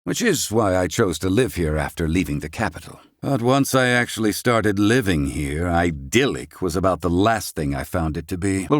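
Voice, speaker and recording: An actor playing a proud, gruff, excitable older scholar-inventor in a video game, speaking in formal, archaic, theatrical phrasing. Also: male voice, sits low, no room sound, studio quality. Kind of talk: video game character acting.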